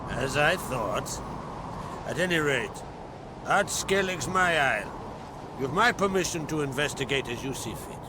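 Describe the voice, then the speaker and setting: An actor playing a proud, fatherly island jarl in a video game, speaking in a deliberate, solemn way with rustic phrasing. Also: medium pitch, lively intonation, background noise, lightly acted. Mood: grave, measured. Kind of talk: dramatic video game dialogue. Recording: game scene with loud music underneath.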